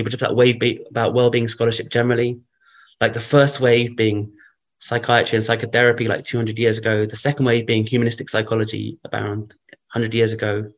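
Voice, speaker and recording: Man, guest on an interview, croaky early voice, rambling and filler-heavy, dull lo-fi sound. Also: medium pitch. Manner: rambling, thinks aloud with many fillers. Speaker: guest researcher. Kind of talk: casual interview talk.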